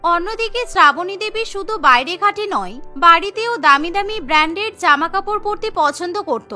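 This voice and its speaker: A woman narrating a cartoon story in a brisk, matter-of-fact way. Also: extremely high pitch, lively intonation, bright, forceful tone, faint music behind.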